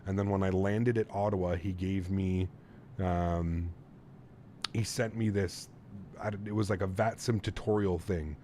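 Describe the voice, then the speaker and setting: A man in his thirties streaming a flight sim, chatting casually with viewers and telling a story, relaxed and chatty with plenty of fillers. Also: low voice, unhurried, a little hiss or hum. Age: 30s. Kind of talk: casual live-stream chat reading viewer comments.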